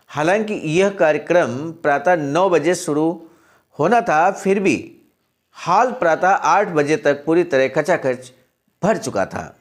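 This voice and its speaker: A man presenting a channel's daily message, reading out and explaining a historical text and addressing his listeners as honored friends. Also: fairly high pitch. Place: center in Sarnath, Varanasi.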